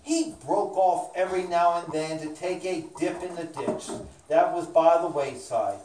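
A woman's voice: on the low side, a little slow. A woman narrating a children's puppet show story in an indoor room. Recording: echoey room, distant mic, faint noise.

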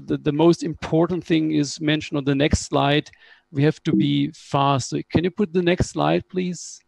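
Hoarse middle-aged scientist on a headset call, gravelly, flat delivery, very dry sound. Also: male voice, a little high, no room sound. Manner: measured, explanatory, slightly halting. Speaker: biotech scientist presenting. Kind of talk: conference panel presentation. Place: home room with window blinds. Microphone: headset on video call.

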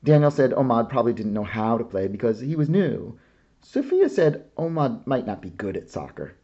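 A man reading a children's picture book aloud in a slow, expressive storytelling manner. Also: mid-range voice, slightly rough, dull audio.

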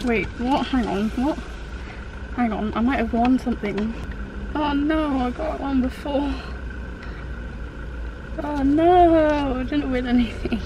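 A woman's voice: high voice, slow pace, pauses often. A young British woman chatting casually to a vlog camera inside a car, in an informal, rambling, easily flustered way. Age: young adult. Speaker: vlogger. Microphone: camera resting on the dashboard. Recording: car noise in the background. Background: car and road noise.

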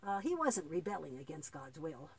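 A woman voicing a patient, devout old grandfather in a children's puppet play, explaining earnestly and haltingly with a husky voice. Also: deep voice, very animated, darkish tone, full character voice.

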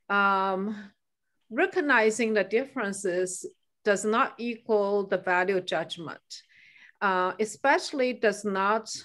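A woman's voice: mid-range voice, fairly bright tone. A middle-aged woman from China, a native Chinese speaker, answering questions on a video call, with many fillers and restarts as she thinks aloud. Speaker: guest speaker. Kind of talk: answering questions in an online meeting. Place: home room by a staircase.

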